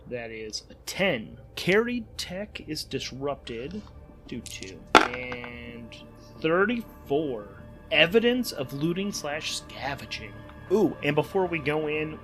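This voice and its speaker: Higher-pitched man narrating a solo game. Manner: informal, self-correcting. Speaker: solo game player and podcast host. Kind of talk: thinking aloud through a solo tabletop game.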